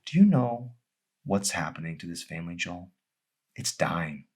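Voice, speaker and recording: A man's voice: deep voice, big pitch swings, measured pace, exaggerated voice. A man voicing a bitter, fed-up wife in an audiobook, cutting, sarcastic and emphatic. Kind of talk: dramatic audiobook character acting.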